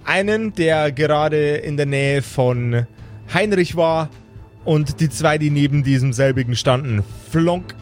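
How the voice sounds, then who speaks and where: A man's voice: fairly high pitch, unhurried. A man improvising vivid narration as game master of a fantasy tabletop game, slipping into colloquial table talk. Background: background music.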